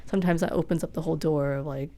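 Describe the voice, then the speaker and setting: Chatty young woman, low voice. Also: steady tone, racing, warm tone. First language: Vietnamese. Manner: chatty, many fillers, laughs often. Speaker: guest chef. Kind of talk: casual podcast conversation.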